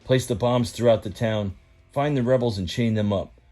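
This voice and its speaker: A man's voice: mid-range voice, moderate pace, dark tone, faint music behind, lightly acted. A man voicing a menacing, commanding villain tow truck in a read-aloud story.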